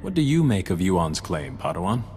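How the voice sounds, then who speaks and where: Grave, deep-voiced Jedi master. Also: male voice, sing-song, darkish tone, faint music behind. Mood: grave concern. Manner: solemn, deliberate. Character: grave, cautious adult male Jedi council master. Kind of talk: video game dialogue acting. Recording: reverberant hall, faint rumbling noise.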